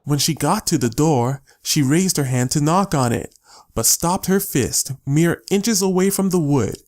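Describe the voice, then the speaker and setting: A man narrates an audiobook story in scripted prose. His voice is pitched a little high for a man. He tells the tale as the narrator, apart from the characters. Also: expressive, a little slow, a little mellow.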